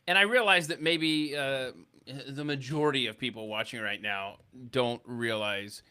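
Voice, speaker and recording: A man's voice: medium pitch, normal speed, sharp tone, smooth. A man hosting a podcast, reminiscing casually in an effusive, emphatic way and repeating himself to stress a point. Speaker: podcast host.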